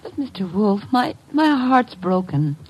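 A woman's voice: mid-range voice, sing-song, measured pace, a little mellow, husky. A woman playing an anxious, heartbroken young heiress in an old radio drama, with hesitant, dramatic delivery. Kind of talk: 1950s radio drama acting. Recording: noisy vintage radio recording.

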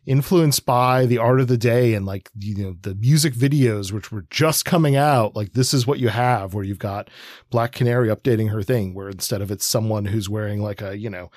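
A man's voice: fairly low pitch, normal speed, darkish tone, no echo, studio quality. A man in his forties chatting on a podcast, rambling with lots of fillers and restarts. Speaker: podcast co-host. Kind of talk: casual chat about comics.

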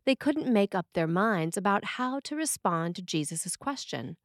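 A woman priest reading a scripted sermon in a measured, expressive way. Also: mid-range voice, no room sound, clean studio sound.

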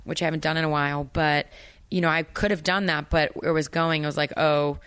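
A woman with a notably low, smooth and clean voice talks as an interview guest. She rambles freely, piling up fillers, restarts and side remarks. The sound is close and dry, with no room around her. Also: fairly even intonation, fast talker, a bit bright, dry recording. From Maryland, USA. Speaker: actress, guest. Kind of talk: casual interview chat.

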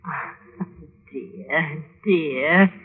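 An actress playing a refined, adoring wife with a tender, sighing manner in an old-time radio drama. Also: female voice, sits low, very slow, husky, heavily acted. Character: genteel, adoring wife of a gentleman. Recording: dull vintage radio recording.

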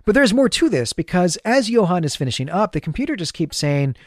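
A man hosts a podcast recap in a slightly higher-than-average voice. His intonation is lively and moves around a lot as he tells a story conversationally. The sound is close and dry. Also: fairly quick, dry recording. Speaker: podcast host.

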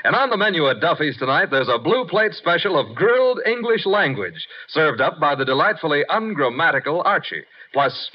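An American man announcing and narrating in a 1950s network radio style. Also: a little high. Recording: dull vintage radio broadcast recording.

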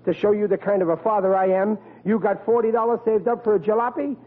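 A man's voice: high voice, fairly even intonation, fast. An actor playing a blustering, stubborn working-class father in a 1940s radio sitcom, with emphatic, ungrammatical talk. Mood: self-righteous. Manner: emphatic, ungrammatical, exasperated blue-collar talk. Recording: tinny, noisy vintage radio recording.

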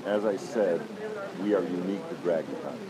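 Deep-voiced man telling convention stories. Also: fairly quick, over a noisy crowd, rough recording. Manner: deep voice, storytelling with acted-out quotes. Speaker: exhibit founder, interview guest. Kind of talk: relaxed anecdotal interview.

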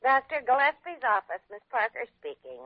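Through a tinny vintage phone line comes a chirpy doctor's secretary. Her high voice swings up and down with theatrical eagerness, in the manner of 1940s radio. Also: sing-song, normal speed. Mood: brisk, surprised. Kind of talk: 1940s radio drama acting.

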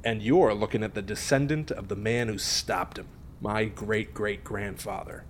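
A man's voice: fairly low pitch, normal speed, slight background noise, close to an everyday voice. A man playing a smug, teasing friend who spins spooky tales in an audio drama. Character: smug, teasing male friend telling a gory local legend. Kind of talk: naturalistic audio drama acting.

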